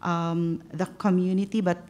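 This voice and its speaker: A woman's voice: fairly low pitch, level tone, moderate pace, darkish tone. Hesitant woman speaking on a panel. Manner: hesitant, many fillers. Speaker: panelist, patient advocate. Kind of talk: panel discussion. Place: large conference hall, stage.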